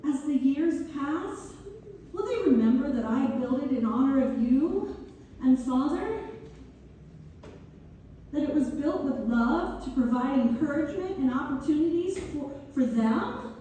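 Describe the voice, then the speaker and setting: A woman playing an earnest, wistful founder looking back after death, halting and sentimental, in a community theater read-through. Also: sits high, expressive, mellow. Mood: anxious, pleading. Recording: echoey hall from far off, faint noise.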